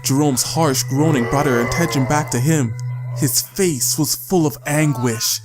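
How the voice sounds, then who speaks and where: A man's voice: a little high, a little slow. Male storyteller over guitar music. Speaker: audiobook narrator. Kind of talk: scripted story narration.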